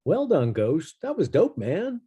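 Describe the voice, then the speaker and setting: A man playing an easygoing, cool music studio guy with a mellow, smooth voice, conversational in a table read. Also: fairly high pitch, huge pitch swings, a little slow, dull audio, exaggerated voice. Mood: laid-back. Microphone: video call. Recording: video call, off-mic.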